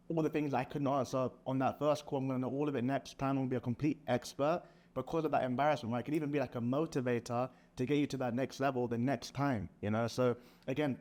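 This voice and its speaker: A man's voice: medium pitch, darkish tone. A young man in a casual business chat, talking in a motivational way with lots of "like" and "man". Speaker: sales partner.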